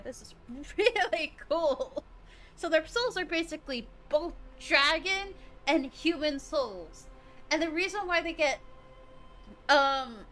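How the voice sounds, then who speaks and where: A woman's voice: high-pitched, bright, forceful tone, slight background noise, rough recording. A woman livestreamer giving casual live game commentary, gushing and giggly as she thinks aloud.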